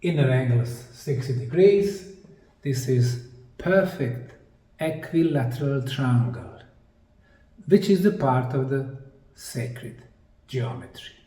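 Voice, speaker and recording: A man presents a lecture in a mellow, slightly high voice. He goes very slowly, setting out short, emphatic phrases with deliberate pauses. The mic sounds a little distant. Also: animated. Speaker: presenter, anthropologist. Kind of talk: slide lecture presentation on sacred geometry. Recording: distant mic in an echoey room.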